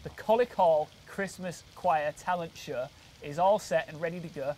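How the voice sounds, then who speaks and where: A man's voice: on the high side, moderate pace, a little mellow. A man presenting a local TV documentary, interviewing guests with upbeat, leading questions.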